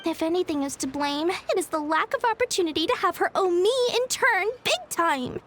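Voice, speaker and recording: A woman's voice: very high voice, animated, brisk, a bit bright. A woman voicing a theatrical, scheming small-time merchant woman in a video game. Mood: sly. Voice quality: sing-song, melodramatic, wheedling.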